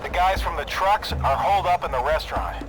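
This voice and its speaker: An actor playing a brash military jet pilot in a video game, giving clipped, forceful radio callouts. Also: male voice, a little high, a little fast, close to an everyday voice, poor audio. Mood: brisk, combative. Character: brash, foul-mouthed military jet pilot, adult man. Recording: loud background music.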